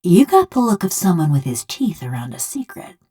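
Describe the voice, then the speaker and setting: A sardonic video game construct, a rough country killer nursing a grudge. Her voice sits a little low for a woman and moves in wide, lively swings. She speaks in folksy, ungrammatical phrases with a taunting, bitter edge. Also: normal speed. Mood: dry, wry. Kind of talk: video game character dialogue.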